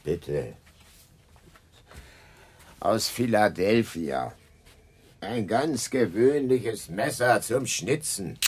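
An actor playing a sullen, defiant, bookish murder suspect in a radio drama, curt and dismissive in manner. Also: male voice, on the low side, animated, drawn-out words, long pauses, husky, heavily acted. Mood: curt, guarded. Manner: curt, dismissive, formal wording.

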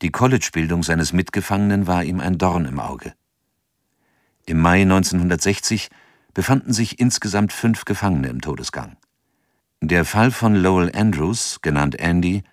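A man narrating an audio drama from a script in a measured, sober manner. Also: low-pitched, animated, no room sound, clean studio sound.

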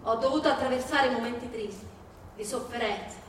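A woman's voice: fairly high pitch, a little fast. A woman giving a scripted dramatic reading as a stage narrator. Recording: echoey room, distant mic, music behind. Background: live music.

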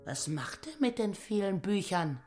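Naggy grasping housewife in a radio play, complains n scolds, loud music behind. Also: female voice, medium pitch, big pitch swings, measured pace. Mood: scornful outrage. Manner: complaining, scolding, folksy turns of phrase. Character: grasping, indignant married housekeeper, petty and nagging. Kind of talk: radio drama acting.

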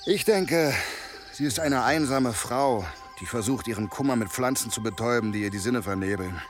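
A man's voice: medium pitch, normal speed, music in the background, natural voice. An actor playing a down-to-earth, sceptical village man in an audio drama, wry and matter-of-fact. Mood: wry, joking. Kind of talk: German audio drama acting.

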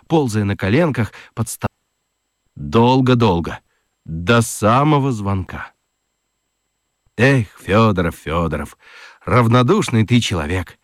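A man giving an expressive, theatrical scripted narration of a children's story. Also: medium pitch, no room sound, studio quality.

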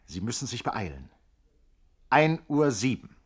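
An actor as the narrator of a 1960s German radio play, suspenseful and grandly mock-sensational. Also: male voice, medium pitch, big pitch swings, measured pace, husky. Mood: suspenseful, precise.

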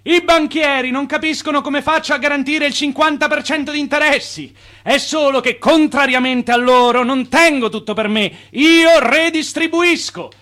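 Radio drama: confident swindler financier, immigrant made good, high voice, persuasive showman. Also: normal speed, bright, forceful tone. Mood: fiery, indignant. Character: Charles Ponzi, self-assured Italian immigrant financier and swindler.

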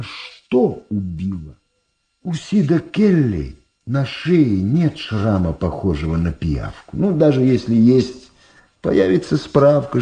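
An actor playing a sly old power broker in a 1970s Soviet radio drama, mockingly affable, unhurried and fond of repeating his words. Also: on the high side, warm tone, full character voice.

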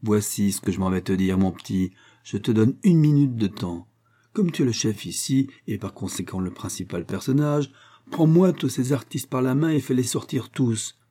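Man acting out a loud, drunken, bullying rich reveler. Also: moderate pace, close to an everyday voice. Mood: mocking, domineering. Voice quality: big muffled bass in the story, boisterous. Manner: insolent, bullying, familiar. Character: drunken, rowdy, mocking rich man in a mask.

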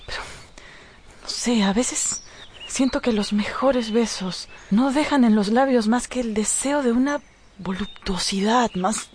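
A woman's voice: on the high side, darkish tone, slight background noise, natural voice. An actress playing a discontented, restless married woman in a radio drama, with a theatrical, sighing delivery. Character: discontented, restless married Frenchwoman, melodramatic and yearning.

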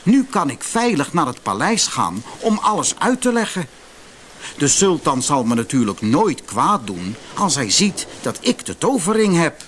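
A man's voice: a little high, very animated, measured pace, fairly bright tone, slight background noise. A man voicing a polite, slightly nervous young hero in an audiobook fairytale. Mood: relieved.

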